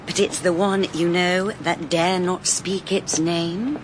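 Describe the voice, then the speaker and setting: A wry, blunt woman private detective in a radio mystery. Her English-accented voice sits on the low side, brisk and sardonic. There is clear background noise around her. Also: steady tone. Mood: pointed, teasing. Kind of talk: radio detective drama acting.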